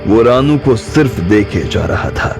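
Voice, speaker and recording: A male narrator tells a drama audiobook at an unhurried pace, his low voice gravelly and slightly husky. He is recorded dry and close, with faint music beneath. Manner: slow, steady storytelling. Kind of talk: scripted storytelling narration.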